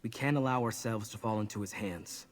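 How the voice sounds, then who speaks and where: A man's voice: fairly low pitch, brisk, natural voice. An actor playing an earnest, apologetic young man burdened with guilt in a video game. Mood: despairing.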